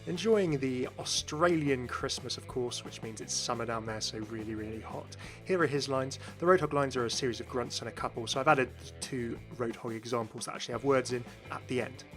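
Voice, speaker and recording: A man's voice: medium pitch, brisk, few pauses, a bit bright. A man hosting informal scripted video game commentary. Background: faint background music.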